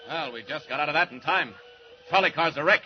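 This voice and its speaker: An actor playing a calm, commanding superhero from another world in a 1940s radio drama, speaking in formal, measured declarations. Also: male voice, on the high side, lightly acted. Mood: urgent, commanding. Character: calm, commanding flying alien superhero, grown man. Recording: muffled, noisy old radio recording.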